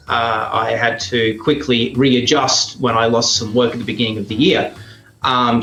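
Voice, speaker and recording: A man chatting casually as an interview guest, often pausing mid-thought as he explains. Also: medium pitch, fairly bright tone. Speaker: interview guest, radio play performer. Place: indoors at a heritage house. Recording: roomy echoing sound.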